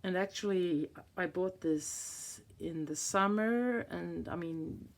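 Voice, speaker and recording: Swedish lady in her 50s, low gravelly voice, slow and unsure, lots of restarts while she flips her planner. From Sweden. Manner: hesitant, searching for words, many restarts. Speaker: planner vlogger. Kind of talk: casual flip-through chat. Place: indoors at a table, hands on a planner.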